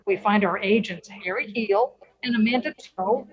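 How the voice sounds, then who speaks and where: A woman's voice: mid-range voice, moderate pace, muffled recording. A woman announcing and narrating a scripted old-time radio show in a presentational manner.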